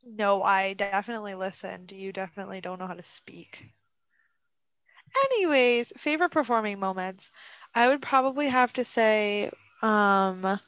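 A woman's voice: medium pitch, a bit bright, no echo, rough recording. A young woman co-hosting a podcast, chatting casually, giggling and teasing, wandering off on tangents. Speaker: podcast co-host. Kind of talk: casual chat.

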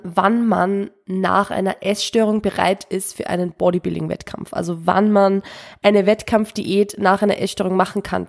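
A woman's voice: mid-range voice, creaky, a little mellow. Young woman, podcast host, stuffy nasal cold voice, casual self-deprecating chatter with restarts. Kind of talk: solo podcast talk.

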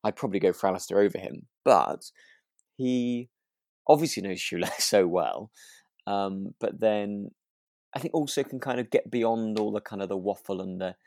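A man's voice: fairly low pitch, normal speed, creaky, a little mellow, no room sound, studio quality. A man co-hosting a podcast in casual chat, thinking aloud and phrasing his opinions tentatively. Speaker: podcast co-host. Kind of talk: casual chat reviewing a radio drama.

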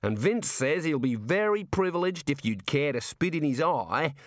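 A man's voice: on the high side, big pitch swings, moderate pace, no echo, clean studio sound, pitched above the performer's own voice. Man playing a jovial Aussie prison warder. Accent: Australian. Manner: jovial, breezy, matey. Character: cheerful chatty male prison warder, jovially morbid, folksy.

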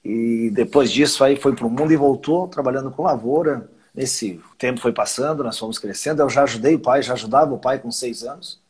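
A husky-voiced man, talking casually as an interview guest. He rambles, restarts his phrases and chuckles as he goes. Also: medium pitch, brisk, few pauses, a little mellow. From southern Brazil. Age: 50s. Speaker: winery owner, guest.

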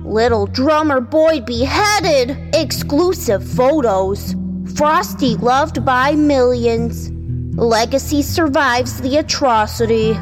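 A woman's voice: high-pitched, slow. A woman playing an eager young newspaper seller crying headlines in an old-time style radio drama. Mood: urgent hawking. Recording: music behind the voice.

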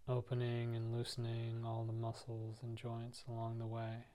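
A man leading a guided meditation, speaking gently and softly in unhurried, spaced-out phrases. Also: mid-range voice, monotone. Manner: soft, gentle, unhurried instructing with pauses. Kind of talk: guided body-scan meditation.

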